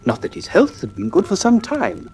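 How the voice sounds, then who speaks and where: An actor playing an earnest country doctor who tells a grim tale gravely and articulately in a radio drama. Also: male voice, high-pitched, wildly swooping pitch, normal speed, darkish tone, faint music behind, heavily acted. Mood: earnest, factual.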